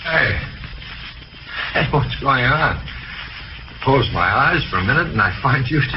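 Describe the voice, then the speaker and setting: A man's voice: mid-range voice, animated, a little slow, lightly acted. A man acting a convalescent, wry and tender American romantic lead in a 1940s radio drama. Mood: groggy, wry. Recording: muffled, noisy 1940s radio recording.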